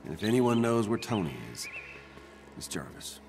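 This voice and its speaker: A middle-aged man with an American accent playing a weary scientist in a video game, dry, halting and understated. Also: lowish voice, very animated, over music. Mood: dry, hesitant. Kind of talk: naturalistic video game acting.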